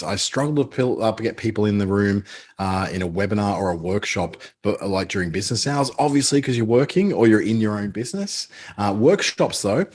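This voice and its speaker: A man's voice: on the low side, darkish tone. A man with an Australian accent who clips the ends of his words, teaching a live webinar in a chatty, filler-laden way. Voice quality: clipped word endings. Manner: chatty, with frequent ums and uhs. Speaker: webinar presenter.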